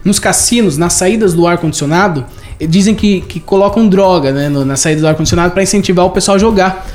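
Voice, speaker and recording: A man's voice: sits high, fast, a little mellow. A man with a Brazilian accent sharing casual anecdotes as a guest in a conversation.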